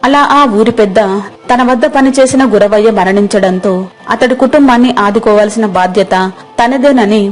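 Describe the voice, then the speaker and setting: A woman's voice: on the high side, normal speed, faint music behind. A woman reading scripted narration for a moral story as its narrator.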